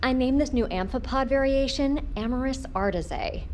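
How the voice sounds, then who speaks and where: An actress playing a playful, opinionated young marine biologist in a naturalistic audio drama, animated and teasing. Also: female voice, high voice, moderate pace, natural voice. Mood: proud, chatty. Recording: faint ambient background noise.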